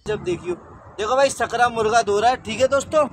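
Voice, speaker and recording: A man's voice: very high voice, a little fast. A man in his thirties, a truck driver, chatting casually to viewers through a phone camera held at arm's length while on the road. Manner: chatty, keeps saying "brother" and "friends" to viewers. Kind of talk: casual travel vlog narration. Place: outdoors by trucks on roads and highway stops. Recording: noisy outdoor phone recording.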